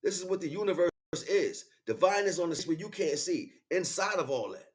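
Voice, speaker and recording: A man's voice: high-pitched, animated, a little fast. Man giving an emphatic spiritual lecture. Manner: emphatic, repeats key words, checks in with rhetorical questions. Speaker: spiritual teacher and livestream host.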